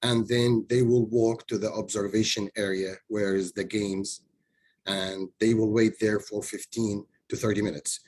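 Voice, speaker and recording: A man's voice: fairly low pitch, flat delivery, unhurried, a little mellow, poor audio. A man from a community coalition walking webinar listeners through a process step by step. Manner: plain step-by-step explanation. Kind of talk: webinar presentation.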